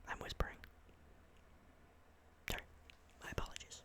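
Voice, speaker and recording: A young man whispers right up against the microphone, breathy and unvoiced throughout. He sounds tired but gets excitable, drifting into rambling asides and apologies between stretches of reading. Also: normal speed. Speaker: ASMR creator. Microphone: close microphone.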